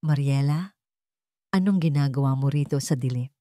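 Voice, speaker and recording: A woman with a Filipino accent voicing a gentle, guarded young husband in a story. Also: extremely low pitch, normal speed, no echo, pitched below the performer's own voice. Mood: concerned.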